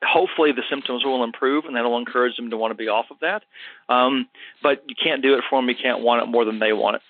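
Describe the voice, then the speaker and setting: A man's voice: medium pitch, fast talker, no echo. A man hosting a health show, answering a caller in a casual, thoughtful way with many fillers. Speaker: health practitioner and show host. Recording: dry, phone-like narrow sound.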